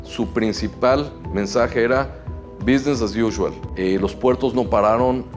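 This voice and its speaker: A middle-aged man from Mexico with a Mexican Spanish accent, answering interview questions in a measured way with frequent hesitations. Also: medium pitch, faint music behind. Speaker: chamber of commerce president.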